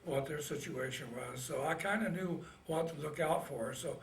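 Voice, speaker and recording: An elderly American man with a Midwestern accent and a slightly high-set voice tells his life story in plain, halting, folksy speech. He sits away from the microphone in an echoey room, with faint noise around him. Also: creaky. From Indiana, United States. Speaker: Navy veteran being interviewed. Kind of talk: casual oral history recollection. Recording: roomy, distant mic, faint noise.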